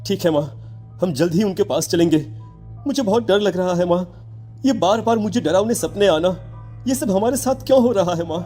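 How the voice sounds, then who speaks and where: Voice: mid-range voice, brisk, dark tone, faint music behind, lightly acted. A performer voicing a scared teenage son in a cartoon horror story, nervous and repeating words. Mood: shaken. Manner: stammering, breathlessly fearful, repeating words. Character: frightened teenage schoolboy, anxious son haunted by nightmares.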